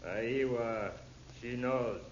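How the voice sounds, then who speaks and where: An actor playing a menacing male assassin who talks in slow, halting broken English, in an old radio drama. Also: medium pitch, slight background noise. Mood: insistent. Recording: dull old radio recording.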